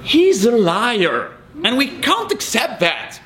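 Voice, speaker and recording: A man's voice: extremely high pitch, wildly swooping pitch, slow, heavily acted. A man voicing an indignant schoolteacher. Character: stern adult schoolteacher complaining. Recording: noisy live recording.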